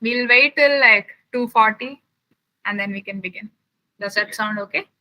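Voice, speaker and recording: Young woman science camp instructor from Chennai, friendly questioning tone, bright tone, laptop mic on a call. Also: fairly high pitch, low-quality recording. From Chennai, India. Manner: chatty, asks the children many quick questions. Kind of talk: casual lab tour and chat with children over a video call.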